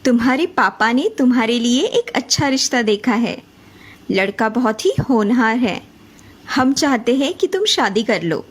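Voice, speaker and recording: A woman voicing a caring, gently persuasive middle-aged mother in a cartoon story. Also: a little high, lively intonation, unhurried, husky.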